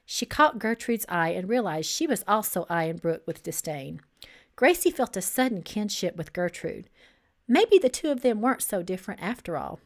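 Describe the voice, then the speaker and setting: A woman reading her own novel aloud as its author, in an expressive, dramatic storytelling style. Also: medium pitch, normal speed.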